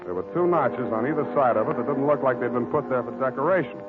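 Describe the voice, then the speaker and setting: A man acting a wry, weary hard-boiled detective in a deadpan, clipped 1940s radio drama style. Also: medium pitch, fast, lightly acted. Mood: dry narrating. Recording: muffled old radio recording with music.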